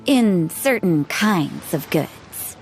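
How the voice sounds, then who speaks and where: A woman voicing a cheerful young ship's accountant in a video game, brisk and dutiful. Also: fairly low pitch, lively intonation, full character voice, poor audio. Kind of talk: video game cutscene dialogue. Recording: game audio with background music.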